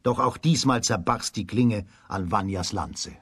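A man narrating a scripted fairy tale for a children's radio play in a measured storytelling manner. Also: lowish voice, fairly bright tone, slightly rough, no echo, clean studio sound.

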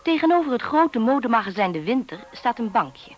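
An actress playing the storyteller of a whimsical fairy-tale radio play, in scripted narration. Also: female voice, a little high, sing-song, a little fast, faint music behind. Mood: storytelling.

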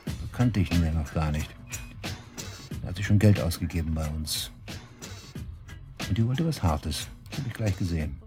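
Sneering, very deep-voiced murder suspect. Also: male voice, lively intonation, normal speed, pauses often, music in the background. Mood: cool, boastful. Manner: taunting, cynical, coarse colloquial talk. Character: cocky, sneering male murder suspect, shady club worker. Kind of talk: German radio drama acting, interrogation dialogue.

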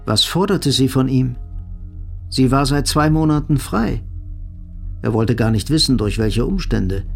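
A man's voice: medium pitch, vocal fry, darkish tone. A man narrating literary fiction as part of an audio drama.

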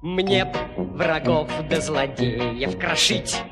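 Boastful young army clerk, singing slowly. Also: male voice, on the high side, lively intonation. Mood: cheerful bravado. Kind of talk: singing in a 1970s audio musical. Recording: loud music behind the singing. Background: song accompaniment.

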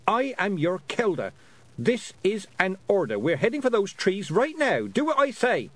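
Man voicing a determined, high-pitched bossy young girl. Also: very animated, moderate pace, no echo. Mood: commanding.

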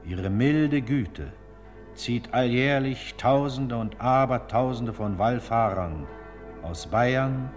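A man's voice: medium pitch, animated, takes it slowly, long reverb tail, faint music behind. A man reading scripted radio narration in a solemn, formal and reverent manner.

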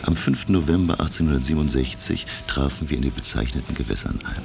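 An actor playing a learned French professor who narrates a sea voyage in a formal, measured, self-assured way for a radio drama. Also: male voice, rumbling low, lively intonation, creaky, over music, exaggerated voice. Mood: composed, narrating.

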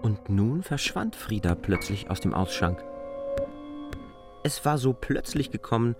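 A man's voice: on the low side, expressive, unhurried. A man narrating a literary radio drama from a script. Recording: studio voice over loud music. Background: loud music.

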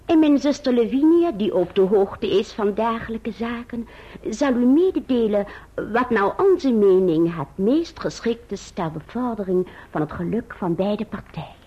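An actress playing a stiff, dignified elderly maiden aunt in a radio play, husky, on a dull recording. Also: female voice, fairly high pitch, animated, moderate pace, warm tone. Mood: formal, stiff.

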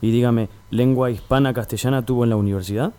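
A man's voice: medium pitch, steady tone, fast, mellow, clear, resonant tone, close to an everyday voice. A man playing a pompous, sarcastic casting director with an Argentine accent in a comic radio play. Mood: condescending. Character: haughty, scornful male casting director.

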